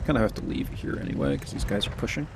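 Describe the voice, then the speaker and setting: A low-voiced man with a gravelly, fry-heavy voice talks casually over his gameplay, rambling and tossing in "man" often. His voice sounds a bit hoarse and cracks at times. Faint engine rumble sits behind him. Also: big pitch swings, fast. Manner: laid-back, rambling, says "man" a lot. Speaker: gameplay commentator.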